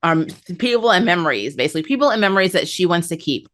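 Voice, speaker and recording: A woman with a slightly low-pitched voice talks through an episode recap as a review host. Her delivery is casual and chatty, like conversational commentary shared with viewers. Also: very animated, quick pace, fairly bright tone. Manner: chatty, conversational, acts out characters' lines. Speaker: anime review channel host.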